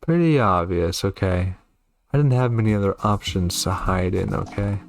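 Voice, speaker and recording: A man's voice: fairly low pitch, normal speed, vocal fry. A man giving commentary on a horror game, reacting and reading on-screen text aloud. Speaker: gaming video host. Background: game music.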